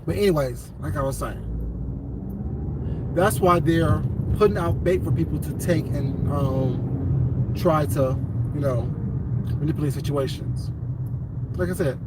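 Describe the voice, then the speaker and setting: Defiant high-voiced man with a stutter. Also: a little slow, pauses often. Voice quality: speech impediment, stutters. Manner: defiant, repetitive, emphatic. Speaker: YouTuber. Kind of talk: casual vlog rant. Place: in a car outdoors. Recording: distant, with loud traffic noise.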